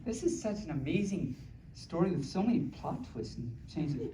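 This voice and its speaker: A playful storyteller reading picture books aloud to a small audience, with childlike, cutesy phrasing and excited asides. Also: mid-range voice, normal speed. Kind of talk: playful live story time with ad-libbed asides. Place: small room at a convention. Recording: echoey room from far off, faint noise.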